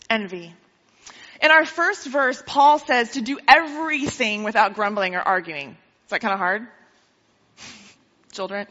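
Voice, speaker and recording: A woman preaches to her congregation, slipping in teasing jokes and frequent laughs. Her voice sits a little high and rings bright and forceful. The recording is dull and lo-fi, with long reverb from the church hall. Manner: joking, teasing, laughs often. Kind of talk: sermon with playful jokes. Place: church sanctuary.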